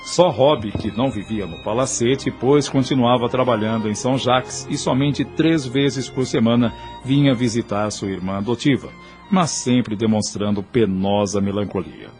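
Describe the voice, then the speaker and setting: A man's voice: medium pitch, moderate pace, darkish tone. A man with a Brazilian accent reads formal, solemn, declamatory narration for an audio soap opera. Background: prominent music.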